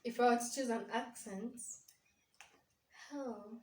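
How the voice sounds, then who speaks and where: A woman's voice: a little high, steady tone, slow, noisy background. A young woman with a Kenyan accent chatting casually with her sister, giggly and often searching for her words. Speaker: vlogger. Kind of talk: casual sibling tag chat. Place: small bare room indoors. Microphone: camera across the room. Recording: echoey room, distant mic.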